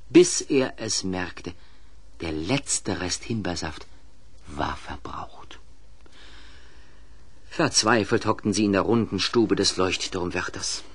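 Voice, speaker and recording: Animated, low-pitched male storyteller. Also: husky. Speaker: narrator. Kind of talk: dramatic storytelling narration for children's radio play.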